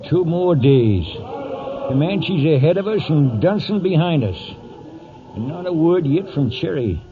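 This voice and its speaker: An actor playing an older American cowhand who narrates a trail drive in a drawling, folksy radio-western style. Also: male voice, on the high side, animated, unhurried, background noise. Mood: reflective storytelling. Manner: drawling folksy cowboy grammar. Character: old cowhand telling a trail-drive story, folksy and plainspoken. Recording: tinny phone-like 1940s radio broadcast, music behind.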